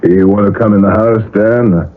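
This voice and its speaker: An actor playing an anxious, possessive middle-aged father in a 1970s radio drama, earnest and halting as he pleads. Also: on the low side, fairly even intonation, noisy background, natural voice. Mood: tense, pleading. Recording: muffled vintage radio broadcast.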